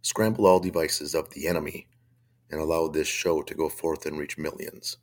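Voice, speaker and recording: A man hosts a faith-based talk radio show with a very low voice that a chest cold has made hoarse. He talks bluntly and conversationally and gives his opinions freely. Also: steady tone, normal speed.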